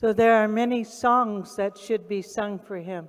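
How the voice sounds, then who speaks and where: A woman with an Oklahoma accent giving a solemn speech on stage at a live event, pausing for an interpreter. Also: mid-range voice. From Oklahoma, USA. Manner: solemn, reverent. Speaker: guest speaker. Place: large event hall on stage. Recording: long reverb of a large hall.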